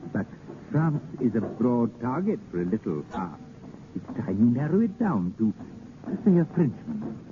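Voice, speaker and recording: A man's voice: on the low side, very animated, a little slow. Sly, theatrical king on crackly old radio. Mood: sly, teasing. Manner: wry, hesitant teasing, theatrical. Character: sly, mocking old French king, capricious and superstitious. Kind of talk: 1930s radio drama acting. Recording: noisy, tinny 1930s radio broadcast recording.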